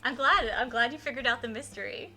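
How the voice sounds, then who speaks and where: A woman hosting a live game stream with casual, effusive, excitable chat. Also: a little high, fast. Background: music.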